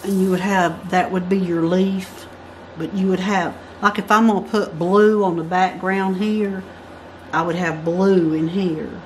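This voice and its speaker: Low-voiced woman explaining a drawing step by step. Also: fairly even intonation, unhurried, darkish tone, slight background noise. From United States. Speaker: craft instructor. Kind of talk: drawing tutorial. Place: indoors at a work table.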